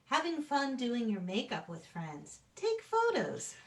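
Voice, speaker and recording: A woman's voice: on the high side, huge pitch swings, a little slow, echoey room. A woman who is an actor and children's storyteller, speaking in a theatrical way. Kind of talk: dramatic read-aloud and talk.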